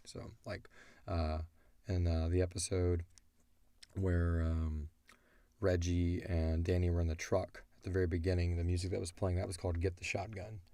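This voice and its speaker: A man's voice: deep voice, little pitch movement, normal speed, dark tone, no echo. A middle-aged man hosting a casual question-and-answer chat as the creator of a show. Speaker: audio drama creator and show host.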